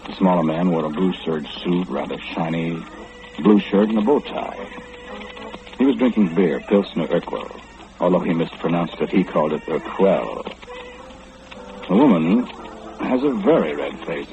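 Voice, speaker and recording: A muffled, noisy vintage radio recording carries a courteous, eccentric gentleman who seems to know everything. His voice is deep and his delivery swoops theatrically, with pompous, precise diction. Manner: formal, pompous, theatrical, deliberate enunciation. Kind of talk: old-time radio drama acting.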